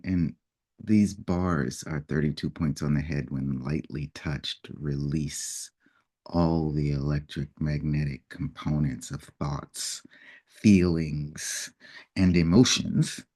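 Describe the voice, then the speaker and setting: A man speaking in an unhurried, reflective way as he tells a personal story, now and then acting out what people said. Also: very deep voice. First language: English. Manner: unhurried, reflective storytelling with lively acted-out quotes. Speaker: personal development facilitator.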